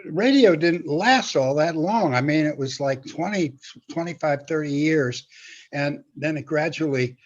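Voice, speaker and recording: A man in his eighties reminiscing casually as an interview guest, in a halting, rambling way with repeated words. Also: a little high, muffled recording. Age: 80s. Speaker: guest, veteran script writer.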